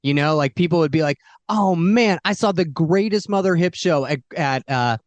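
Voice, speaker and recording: A man's voice: on the high side, moderate pace, no room sound. A man co-hosting a casual interview, bantering in an effusive, jokey and emphatic way. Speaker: show co-host.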